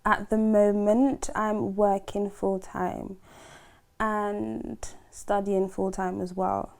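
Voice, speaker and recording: A woman's voice: medium pitch, little pitch movement, slow pace, vocal fry, darkish tone. A woman in her twenties, born in Trinidad and Tobago, chatting as a guest, joking loosely and laughing between words. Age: 20s. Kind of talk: casual chat.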